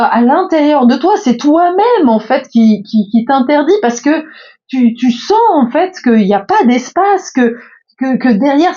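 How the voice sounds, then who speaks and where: A French woman in her fifties talks slowly and reflectively from her bedroom, pausing often with fillers and restarting her sentences. Her voice sits fairly high but sounds soft and mellow. The recording is slightly dull. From France. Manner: hesitant, many fillers and restarts. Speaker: spiritual coach. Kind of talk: casual reflective monologue.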